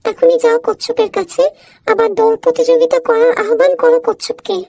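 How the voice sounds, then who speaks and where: A woman's voice: extremely high pitch, steady tone, fairly quick, creaky, mellow. A woman voicing a kindly animal friend in a children's cartoon, encouraging and coaxing.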